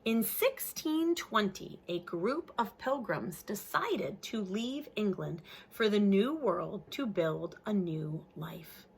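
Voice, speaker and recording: A woman reads a picture book aloud to young children in an expressive way, adding simple, friendly-worded explanations as a teacher would. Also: medium pitch, takes it slowly, gravelly, hardly any pauses, breathy edge.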